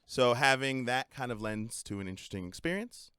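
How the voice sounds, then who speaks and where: A young man co-hosting a relaxed podcast conversation. He talks in an animated, playful way and keeps breaking into laughter. His voice sits at an ordinary pitch and sounds dry and close, with no room around it. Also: brisk, clear, resonant tone, dry recording. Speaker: podcast co-host. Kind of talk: casual podcast chat.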